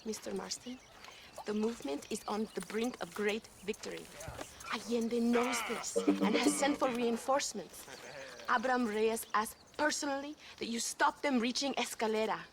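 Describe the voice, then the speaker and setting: A woman plays a high-voiced young revolutionary with a Mexican accent. She is earnest, formal and full of grief and conviction, in a dramatic game cutscene with faint noise behind her. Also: normal speed, close to an everyday voice. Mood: urgent, determined. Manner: earnest, formal, emphatic phrasing.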